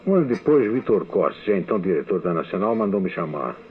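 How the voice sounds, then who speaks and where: An older man, a radio writer, reading his memoirs in a measured, recollecting way for a radio testimony. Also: sits low, big pitch swings. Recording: tinny archival radio recording.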